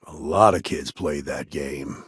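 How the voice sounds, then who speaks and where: A man playing a level-headed, world-weary lawman ranger in a video game, with a gravelly, husky voice and a firm, plain-spoken way of talking. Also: rumbling low, measured pace, no room sound, clean studio sound, full character voice. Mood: matter-of-fact. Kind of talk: game character dialogue lines.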